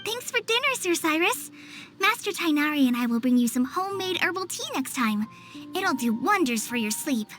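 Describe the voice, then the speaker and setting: A woman's voice: high-pitched, very animated, moderate pace, a bit bright. A woman voicing a cheerful, eager young girl with a youthful, friendly manner in an anime video game. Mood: grateful. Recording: music under the voice.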